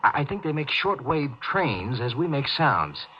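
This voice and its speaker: An actor playing an earnest, deferential spaceship communications officer in 1950s radio drama, explaining things respectfully and hesitantly. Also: male voice, mid-range voice, big pitch swings, unhurried, a little hiss or hum. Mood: explanatory, respectful. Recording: dull, band-limited 1950s radio recording.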